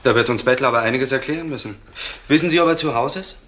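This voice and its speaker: Man playing a keen, medium-pitched junior detective. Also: fast talker, a bit bright, close to an everyday voice. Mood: alert, terse. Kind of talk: German crime radio play acting.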